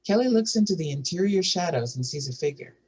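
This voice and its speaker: A woman with a husky voice reads scripted narration and stage directions for a drama table read. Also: on the low side, animated, fast, a little mellow.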